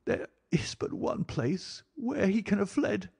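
A man's voice: sits high, very animated, takes it slowly, vocal fry, darkish tone, husky, pitched above the performer's own voice, exaggerated voice. A man voicing an anguished, bitter Victorian wife in an audiobook, with impassioned, formal phrasing. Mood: urgent. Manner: impassioned, exclamatory, formal old-fashioned phrasing. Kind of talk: dramatic audiobook character acting.

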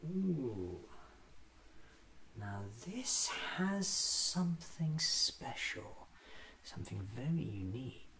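A man in his thirties doing a soft-spoken ASMR roleplay as a fussy, eccentric doctor musing to himself, often slipping into a whisper. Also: mid-range voice, very animated, slow. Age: 30s. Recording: clearly audible background noise.

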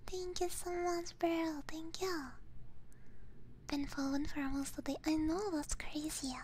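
A woman livestreaming ASMR in a soft breathy whisper, chatting casually with viewers close to a binaural microphone. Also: high voice, flat delivery, unhurried, a little hiss or hum. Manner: casual, chatty, frequent swearing. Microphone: binaural ear microphone, close up.

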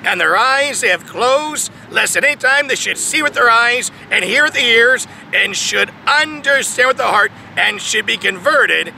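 High-voiced emphatic man preaching outdoors. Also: animated, normal speed, vocal fry, hardly any pauses, bright, forceful tone. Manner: emphatic, preaching with swells and drops, reads verses aloud. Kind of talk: outdoor sermon reading scripture. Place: large open field outdoors. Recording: outdoor traffic noise behind. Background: distant traffic noise.

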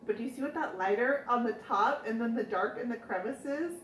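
A woman's voice: fairly high pitch, fairly quick, a little hiss or hum. Chatty woman in an echoey room. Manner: chatty, laughs often, jokes with viewers. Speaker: furniture painting host. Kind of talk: casual live demo chat. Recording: echoey room, mic at a distance.

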